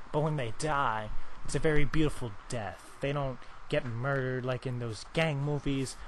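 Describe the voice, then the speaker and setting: A man's voice: medium pitch, slight background noise, low-quality recording. A man making a prank call in improvised absurd chat, rambling with feigned confusion. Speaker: prank caller.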